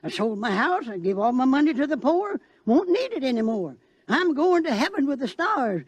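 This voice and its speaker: A rapturous cult zealot from a radio play. The voice swings wildly up and down, preachy and stammering, in a dry, close sound. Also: male voice, very high voice, very animated, moderate pace, breathy edge, no room sound, exaggerated voice. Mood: fervent, rapturous. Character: fervent male doomsday cult believer, simple and rapturous. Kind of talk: radio drama acting.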